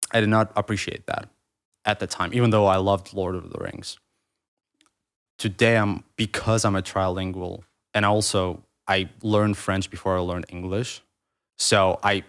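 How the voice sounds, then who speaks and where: Low-voiced thirties man, Russian-native, rambling reflective guest speaking English. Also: fairly bright tone, smooth. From Ukraine. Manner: rambling, restarts mid-thought, many fillers. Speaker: podcast guest, writer. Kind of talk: reflective conversational interview.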